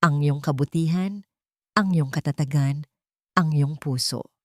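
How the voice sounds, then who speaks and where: A woman's voice: deep voice, a little slow, darkish tone, no room sound. Audio drama role: woman reading as a widowed father in love, solemn, tender and sincere, very dry close sound.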